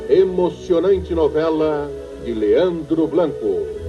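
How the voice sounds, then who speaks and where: A man's voice: fairly high pitch, expressive, slow. A Brazilian man announcing a radio drama in a formal, declamatory 1940s style, on an old radio recording. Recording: dull vintage radio recording with music. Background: prominent music.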